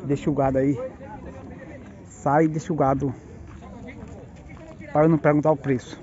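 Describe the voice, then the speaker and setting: A man's voice: on the high side, level tone, normal speed, warm tone. A man from Northeast Brazil with a Pernambuco accent, filming as he walks through outdoor cattle pens, chatting to viewers and calling out prices. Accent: Pernambuco Portuguese. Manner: chatty, calls out prices to viewers and greets sellers. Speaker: livestock market vlogger. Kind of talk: casual walk-through narration. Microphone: phone camera held while walking. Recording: dull phone audio with crowd behind. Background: crowd chatter and cattle.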